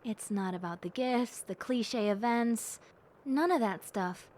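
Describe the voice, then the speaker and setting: A sweet young bat girl, acted by a woman, speaks in a highish voice at a very slow pace. She is earnest and cheerful, talking softly-heartedly and reflectively to one listener in an audio roleplay. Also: a little hiss or hum. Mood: wistful, reflective.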